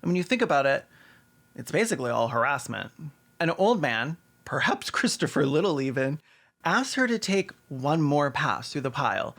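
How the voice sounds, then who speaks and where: A man's voice: a little high, big pitch swings, normal speed, fairly bright tone. A man narrating scripted comedic commentary in a wry, sarcastic manner. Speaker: video essay narrator.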